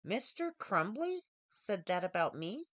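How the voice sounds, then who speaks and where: An audiobook heroine, a polite woman programmer who is easily flustered, acted out by a woman reader. Her speech is halting and eager to please, swinging noticeably in pitch. The recording is dry. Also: sing-song, a bit bright, no echo. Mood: surprised, apologetic. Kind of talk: audiobook character dialogue.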